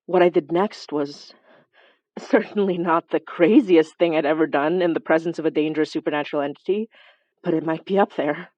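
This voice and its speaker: Low-voiced woman investigator of the supernatural, acted. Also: fairly quick, darkish tone, dry recording, lo-fi sound, close to an everyday voice. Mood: wry. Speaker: narrator and lead character. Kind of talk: first-person horror audio drama narration.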